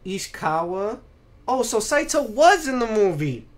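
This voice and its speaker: A man hosting a casual podcast, speaking bluntly with plenty of swearing and getting animated when exasperated. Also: high voice, a little slow. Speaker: podcast host. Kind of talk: casual opinionated podcast chat.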